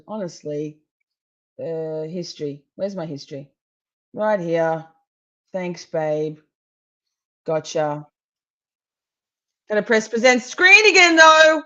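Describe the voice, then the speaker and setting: A woman's voice: fairly low pitch, pushed and bright, muffled recording. A woman hosting a live stream and commenting on a trial in a rambling, chatty way, a bit wheezy today. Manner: chatty, rambling, self-correcting. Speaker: livestream host commenting on a trial. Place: at home with pets.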